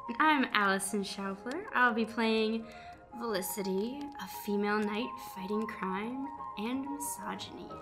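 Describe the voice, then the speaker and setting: Highish-voiced woman improviser over music. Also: a little slow. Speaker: improv actor. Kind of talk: casual cast introduction.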